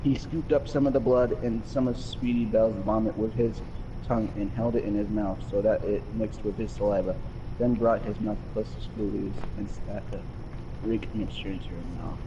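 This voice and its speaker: A man at a middle pitch reads a story aloud to his friends, cutting in with loud exclamations and swearing. The recording is dull and lo-fi, with a steady layer of noise behind it. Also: normal speed. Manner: exclaims and swears mid-reading, breaks into laughter. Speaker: reader of the fanfic. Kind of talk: reading a story aloud with reactions.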